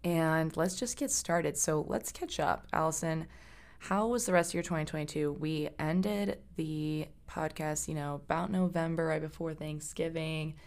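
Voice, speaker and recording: A young woman chats casually as a podcast co-host, speaking in a quiet, soft way. Her voice sits very low and carries a gravelly fry. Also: steady tone, unhurried. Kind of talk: casual chat.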